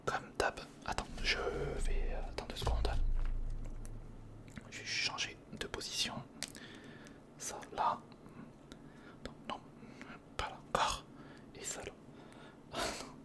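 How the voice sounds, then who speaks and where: An ASMR creator, speaking in a breathy whisper close to the microphone, casually talking through hands-on work and repeating short phrases. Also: slow, long pauses. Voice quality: breathy, mostly unvoiced whisper. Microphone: close studio recorder microphone.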